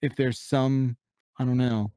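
A man's voice: medium pitch, fairly even intonation, unhurried, mellow, no echo. A man hosting a comedic panel chat in a casual, rambling way with some swearing. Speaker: podcast host.

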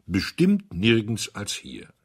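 A venerable old gentleman from an audiobook, as a male narrator plays him, deep-voiced and very husky. He delivers slow, weighty pronouncements with solemn, dismissive dignity. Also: wildly swooping pitch, heavily acted. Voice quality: deep bass.

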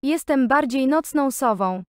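A woman reads short prompt sentences briskly and plainly. Her voice sits high, and the recording is dry with no room sound. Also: lively intonation, no echo. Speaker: translation reader.

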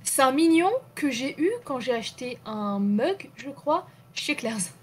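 A woman's voice: sits high, animated, unhurried, faint music behind. A young woman co-hosting a live stream, chatting casually and rambling with lots of hesitations. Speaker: stream co-host. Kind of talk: casual chat.

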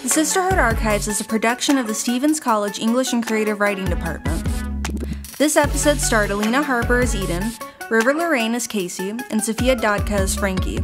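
Loud music plays behind a woman announcer. She reads scripted credits in a slightly high voice.

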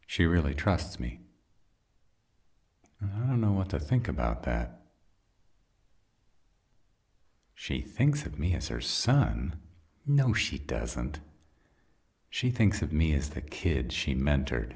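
Casting: male actor as wry, insecure vampire for audio roleplay; rock-bottom register, slow delivery. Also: rumbling low, darkish tone, breathy edge, dry recording, studio quality, full character voice. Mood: unsure, vulnerable. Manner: sarcastic, wry. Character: sarcastic grown male vampire, guarded, insecure, starved of love.

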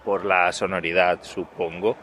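A man's voice: low-pitched, measured pace. A man, chatting relaxedly in a street interview. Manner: relaxed, laughs a little, restarts. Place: city sidewalk outdoors. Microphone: handheld interviewer mic.

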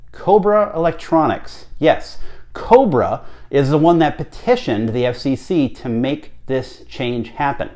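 A man's voice: on the high side, mellow. A man in his thirties with an American accent giving conversational, emphatic commentary to camera, often posing rhetorical questions. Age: 30s. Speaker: radio hobbyist vlog host. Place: small home office, indoors.

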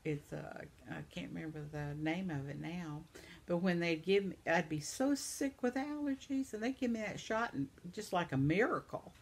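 A woman's voice: on the low side, animated, moderate pace, gravelly, husky. An older woman, chatting at home to her viewers as she reads their comments aloud, sympathetic and reacting to each one. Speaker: vlogger. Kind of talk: casual chat reading viewer comments. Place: living room at home, seated in armchair.

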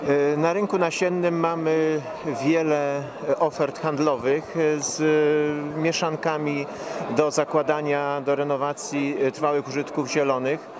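A middle-aged man giving formal, measured expert explanations as an interviewed professor. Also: fairly high pitch, flat delivery. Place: interview stand at a busy indoor forum. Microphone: handheld reporter microphone held out to him. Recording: busy trade event crowd noise.

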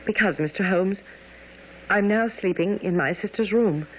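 A woman's voice: mid-range voice, moderate pace, lightly acted. An actress playing a distressed young Victorian lady, anxious and earnest, in a radio drama. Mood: fearful, distressed. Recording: dull, noisy old radio broadcast.

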